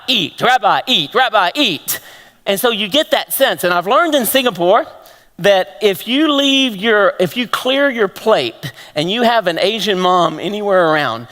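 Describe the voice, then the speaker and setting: High-voiced Texan pastor preaching. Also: male voice, normal speed. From Texas. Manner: animated, rhetorical questions to the congregation. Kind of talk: sermon. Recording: long hall reverb.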